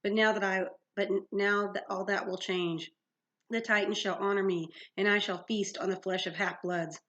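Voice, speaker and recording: A woman's voice: medium pitch, fairly even intonation, normal speed, a bit bright, lightly acted. A woman voicing a gloating male manticore villain from a fantasy storybook, sneering and formal, with a French accent. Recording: dull lo-fi sound.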